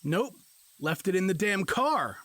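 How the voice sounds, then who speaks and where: A man's voice: high voice, very animated, unhurried, a bit bright, no echo, heavily acted. A man playing an exasperated, sarcastic husband dangling from a tree in an audio drama. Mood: frustrated. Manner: sarcastic, blustering.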